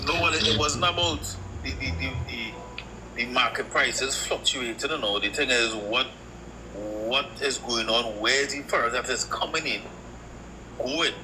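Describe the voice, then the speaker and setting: A man's voice: mid-range voice, measured pace. A man who calls in to a live chat and presses the others with questions. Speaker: caller. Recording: noisy live-stream audio.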